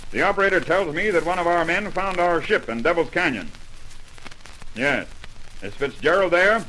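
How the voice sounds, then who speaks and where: A man's voice: fairly high pitch, expressive, normal speed, sharp tone. A man playing a domineering, brusque airline general manager in 1930s-style radio drama acting. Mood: suspicious. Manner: brusque, demanding, blustering. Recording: noisy old radio recording.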